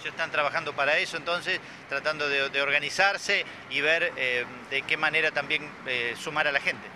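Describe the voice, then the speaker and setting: A man, a local TV reporter asking hesitant, measured interview questions outdoors. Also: fairly high pitch, fairly even intonation, background noise. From Argentina.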